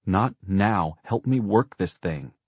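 Stern, determined six-year-old girl, played by a man, pitched up, frightened, audiobook story character. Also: lively intonation, slow pace, darkish tone, slightly rough, dry recording, heavily acted. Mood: firm.

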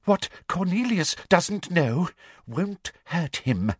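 A man voicing a prim, cruel middle-aged woman official in a story, with a breathy, agitated, self-justifying manner. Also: high voice, big pitch swings, slow pace, dry recording, studio quality, pitched above the performer's own voice, exaggerated voice. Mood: quiet menace.

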